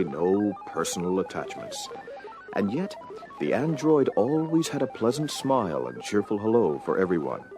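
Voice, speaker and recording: A man's voice: deep voice, poor audio. A man narrating a scripted adventure story in a dramatic storytelling style. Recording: music bed under voice. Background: music.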